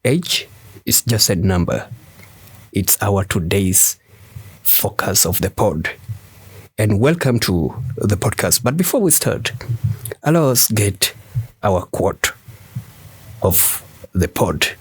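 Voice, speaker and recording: Slow, husky-voiced man hosting a podcast. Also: on the low side, lively intonation, dry recording. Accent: West African English. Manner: slow, emphatic, preacher-like delivery with repetition. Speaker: podcast host. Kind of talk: scripted motivational monologue.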